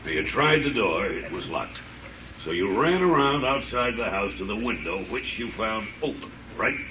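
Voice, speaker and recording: A commanding, gruff police chief in an old radio crime show, impatient and curt. The voice is low and a bit distant on a muffled, noisy 1930s recording. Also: male voice, animated, normal speed, bright, forceful tone, roomy sound. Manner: brusque, commanding.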